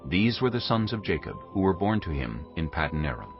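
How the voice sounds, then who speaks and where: A man's voice: low voice, sing-song, brisk. A man narrating scripture from a script in a solemn storytelling manner. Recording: muffled audio under music. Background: music.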